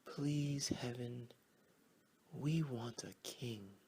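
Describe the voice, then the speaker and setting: A man voicing excitable, emphatic frogs in a storybook tale. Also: mid-range voice, drawn-out words, background noise. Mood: pleading. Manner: exclamatory, emphatic. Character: excitable frogs of a pond, pleading and shouting. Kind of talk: storybook character voices.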